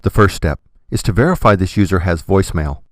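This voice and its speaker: A man with a low voice presents a scripted software tutorial. He speaks methodically, explaining each step like an instructor. The recording is dry and close, with very little room sound. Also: expressive, a little fast, dry recording.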